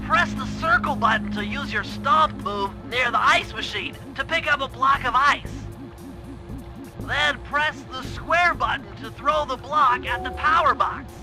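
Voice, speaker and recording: A very high, nasal cartoon brainiac of a turtle, cautious and scholarly. He lays out game missions in precise, wordy sentences, with music playing behind him. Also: measured pace, bright, forceful tone, full character voice, rough recording. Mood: instructive. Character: nerdy, cautious male turtle brainiac, young gadget-minded strategist. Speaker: mission planner and guide. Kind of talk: video game cutscene and tutorial voice acting.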